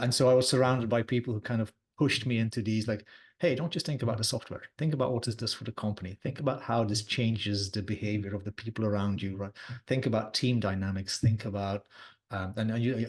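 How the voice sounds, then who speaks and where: A man in his forties, a technology advisor chatting casually in an interview, relaxed with lots of fillers. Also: on the low side, a little fast. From Belgium.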